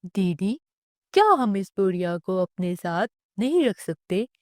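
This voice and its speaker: A woman's voice: medium pitch, animated, unhurried, dry recording, clean studio sound, close to an everyday voice. A woman voicing a caring, earnest little brother in a cartoon moral story, childlike and pleading. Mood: earnest, questioning.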